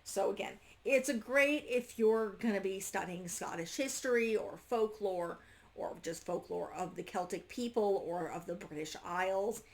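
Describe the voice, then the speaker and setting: A woman's voice: medium pitch, creaky. A woman reviewing a book casually to camera, unscripted, often breaking off and restarting her sentences as she goes. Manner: halting, self-interrupting, trailing off mid-sentence.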